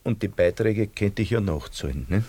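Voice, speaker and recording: An actor playing a middle-aged, self-pitying, fawning Austrian shopkeeper in a radio drama, rambling and theatrical with an Austrian accent. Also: male voice, lowish voice, lively intonation, normal speed, dark tone, slightly rough. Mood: insistent, wheedling.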